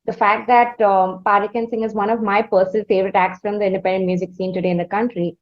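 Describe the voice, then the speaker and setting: A woman interviews in a conversational, effusive way. Her voice sits at a middle pitch, and she rambles with halting restarts. The recording is a little dull and lo-fi. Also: flat delivery. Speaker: interviewer, host.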